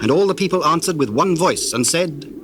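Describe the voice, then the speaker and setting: A man giving a formal, measured narration of dramatized scripture. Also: fairly high pitch, lively intonation, a loud crowd. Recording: very noisy old cassette tape.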